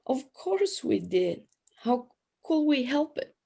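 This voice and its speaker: Woman playing a slow, solemn, mellow companion. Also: sits high, big pitch swings, gravelly, husky, heavily acted. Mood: solemn, calm. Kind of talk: audio drama dialogue.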